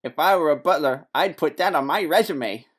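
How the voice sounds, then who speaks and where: An actor voicing a flippant, wisecracking private detective's sidekick in a comic noir audio drama, joking in deadpan. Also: mid-range voice, normal speed, lightly acted.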